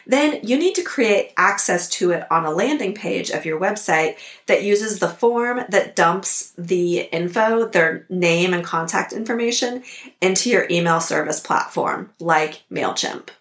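Hoarse lady podcaster w a cold, lowish voice, upbeat practical coaching, roomy echo. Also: expressive, unhurried, fairly bright tone. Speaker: podcast host. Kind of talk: instructional podcast talk.

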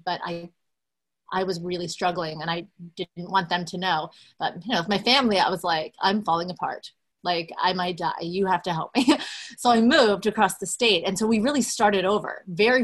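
A woman's voice: lowish voice, fairly quick. A woman, telling her story candidly as an interview guest with many fillers. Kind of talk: conversational interview, personal story.

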